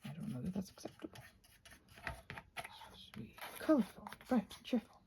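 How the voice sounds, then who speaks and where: A soft-spoken woman chatting casually and musing aloud as a craft vlogger, often slipping toward a whisper and gently reassuring herself. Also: mid-range voice, sing-song, a little fast, vocal fry, noisy background. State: short on sleep. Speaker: craft vlogger and ASMR host. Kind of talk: casual rambling craft chat.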